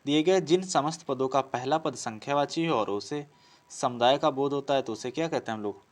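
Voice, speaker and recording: A man's voice: medium pitch, fairly quick. Man teaching through practice questions. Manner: explanatory, repeats phrases, poses questions and answers them. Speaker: teacher for competitive exam Hindi grammar. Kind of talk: classroom lesson working through practice questions.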